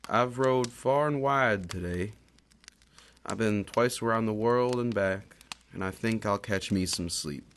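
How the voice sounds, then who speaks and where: A man plays Death as a lonesome, gentle cowboy with a country drawl. His low voice is gravelly and solemn, ringing in long reverb in a radio play. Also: a little slow. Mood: weary, hospitable. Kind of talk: radio drama acting. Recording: long reverb, faint noise.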